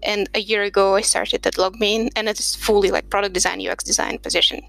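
A woman from Russia speaks as a podcast guest in a reflective, chatty way. Her voice is mid-pitched with a gravelly edge. She records from a home desk surrounded by books, and the sound is dry and close. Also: level tone, fast, a bit bright, dry recording, rough recording. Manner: reflective, chatty, light laughs. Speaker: podcast guest, product designer. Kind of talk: casual interview chat.

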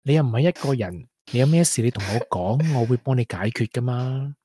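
A man's voice: medium pitch, expressive, fairly quick, mellow, slightly rough, no echo, clean studio sound. An actor with a Hong Kong Cantonese accent playing a caring, playful young man in a radio drama, with a coaxing, colloquial manner. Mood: tender, teasing. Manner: coaxing, colloquial, with English interjections. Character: caring, playful, persistent young man wooing a grieving colleague.

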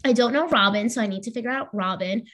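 A woman's voice: a little high, quick pace, fairly bright tone. Young American woman, casual podcast co-host. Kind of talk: casual chat, introducing a guest.

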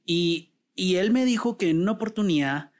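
Guatemalan male interviewer, slightly high voice, long winding questions. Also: lively intonation, moderate pace. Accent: Guatemalan Spanish. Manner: long, rambling questions, with more emphasis when making a point. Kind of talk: casual interview conversation.

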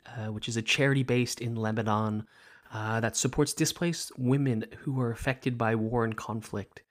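A man hosting a podcast conversation as a meditation teacher, speaking in a calm, gentle, measured way. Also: medium pitch.

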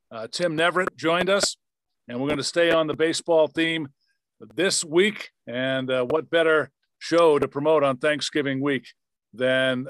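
This is a man hosting a sports interview podcast. His voice sits a little high for a man. The sound is very dry, with no room around it. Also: a bit bright, no echo, poor audio. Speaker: podcast host, former radio play-by-play announcer.

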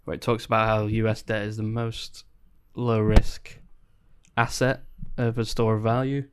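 A man's voice: fairly low pitch, little pitch movement, unhurried, noisy background. A man co-hosting a podcast, explaining things in an easygoing way. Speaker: podcast co-host. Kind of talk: casual group chat.

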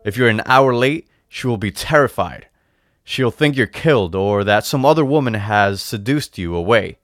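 A man's voice: fairly low pitch, animated, moderate pace, fairly bright tone, no room sound. A man voicing a sly old shopkeeper in a storybook tale, patient, persuasive and emphatic, savoring his words. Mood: coaxing. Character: sly old male shopkeeper selling potions, patient and coaxing. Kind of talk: storybook character voice in a read-aloud tale.

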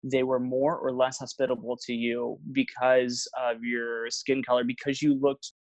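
A man's voice: mid-range voice, little pitch movement, moderate pace, no room sound. Chatty young man on a dry mic. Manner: rambling storyteller, many fillers and restarts. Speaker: travel vlogger, guest. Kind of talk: casual interview chat.

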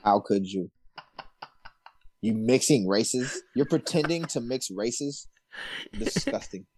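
A man's voice: medium pitch, animated, slow pace, a little mellow, a little hiss or hum. A man co-hosting a comedy podcast, tossing out short, slangy wisecracks in a laid-back way. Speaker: podcast co-host. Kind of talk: casual comedic banter.

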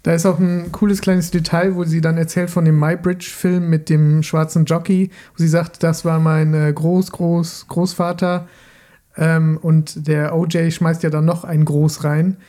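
A man co-hosts a relaxed film chat. His voice sits fairly high for a man, yet it is soft-edged and mellow. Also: unhurried. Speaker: podcast co-host. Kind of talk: casual film discussion.

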